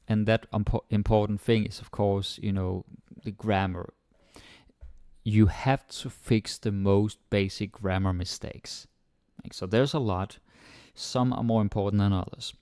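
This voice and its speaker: A man whose first language is Danish gives an informal language lesson in a slightly low voice. He rambles easily and leans on fillers as he acts out his students' thoughts. The sound is dry and close, with almost no room echo. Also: darkish tone. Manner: chatty, full of "like" and "you know", acts out learners' thoughts. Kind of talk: casual spoken lesson to camera.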